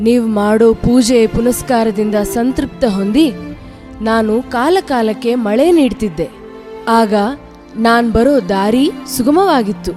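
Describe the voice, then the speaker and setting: A woman's voice: a little high, unhurried, lightly acted. A woman playing a wronged, motherly rain goddess in an audio drama, pleading with indignant rhetorical questions. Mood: sorrowful, reproachful. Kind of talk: Kannada audio drama monologue. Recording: loud music under the voice. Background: dramatic background music.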